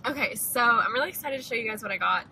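A woman's voice: fairly high pitch, quick pace, background noise. A young woman vlogger chatting casually about a shopping haul, upbeat, with lots of "like" and "um", filmed on a phone at arm's length in a parked car. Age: young adult. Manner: chatty, upbeat, many "like" and "um" fillers. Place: parked car, then a big store. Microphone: phone camera at arm's length.

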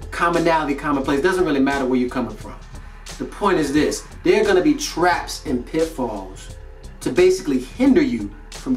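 A man in his thirties with an American accent gives a casual motivational talk to camera in an emphatic, slangy way. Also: a little high. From North Carolina, United States. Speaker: host of a motivational video series. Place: indoor studio with plain grey backdrop. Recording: roomy echo, distant mic, music behind.

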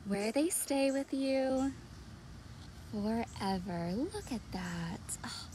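A woman in her thirties, a children's librarian, reading aloud and chatting to young children in an animated sing-song way, recorded outdoors at arm's length from the device. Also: a little high, slow. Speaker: children's librarian hosting storytime. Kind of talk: read-aloud and chat addressed to young children. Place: outdoors in a leafy backyard. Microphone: device mic at arm's length. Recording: outdoor background noise.

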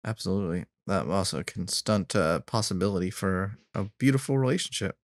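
A man's voice: low-pitched, a little fast. A man hosting a podcast, chatting casually over a video call and chiming in with short agreements. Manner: brief agreeing interjections, relaxed. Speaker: podcast host. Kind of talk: casual interview chat.

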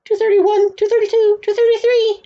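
A woman's voice: flat delivery, moderate pace, warm tone, muffled recording. A woman voicing an earnest, plaintive young boy in a storybook read-aloud. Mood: desperate. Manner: high, earnest delivery.

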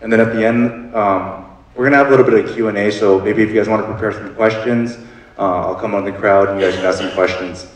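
A man hosts a panel in an easygoing way, full of fillers. His voice is fairly low and sounds distant from the mic. The large theater around him adds strong echo. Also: steady tone, fast. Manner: casual, filler-heavy, upbeat. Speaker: moderator. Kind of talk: stage moderation. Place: theater stage before an audience. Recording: echoey theater from far off.

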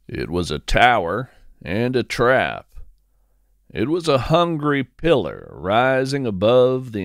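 Slow, expressive male storyteller. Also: on the low side, dry recording, clean studio sound. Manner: deliberate, descriptive, measured phrasing. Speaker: host and narrator of an audio fiction podcast. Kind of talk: scripted first-person storytelling narration.

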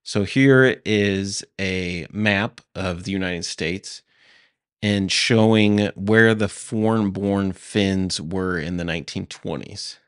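Deep-voiced guy hosting a podcast, steady and matter-of-fact, dry close sound. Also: takes it slowly, smooth, no room sound. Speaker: podcast host presenting history. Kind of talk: casual explanatory talk over maps.

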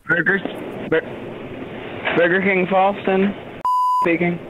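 A man working at a fast-food restaurant, taking a customer's phone call. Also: high voice, flat delivery. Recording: muffled noisy phone line.

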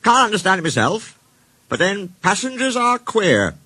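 A man's voice: high-pitched, big pitch swings, moderate pace, pushed and bright, husky, no echo, voice pushed up, exaggerated voice. A man voicing an easygoing small steam engine in a children's storybook, plain-spoken, colloquial and matter-of-fact.

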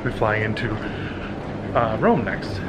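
A man in his thirties talks casually to a camera held at arm's length, easygoing and full of fillers. His voice sits a little low, and loud airport and cabin noise runs under it. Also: takes it slowly. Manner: chatty, relaxed, many fillers. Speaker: travel vlogger. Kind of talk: casual vlog commentary. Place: airport terminal and plane cabin. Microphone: handheld camera at arm's length.

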